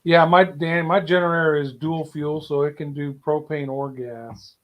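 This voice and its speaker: A man's voice: a little high, steady tone, moderate pace. A man chatting casually as a livestream co-host and relaying comments from viewers.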